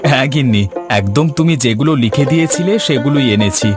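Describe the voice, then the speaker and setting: A man acts a humble village eatery keeper in a cartoon tale. He talks earnestly and plainly at a middling pitch, as a devoted husband. Music plays clearly behind. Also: lively intonation, fast, natural voice. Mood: hopeful. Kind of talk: Bengali cartoon story voice acting.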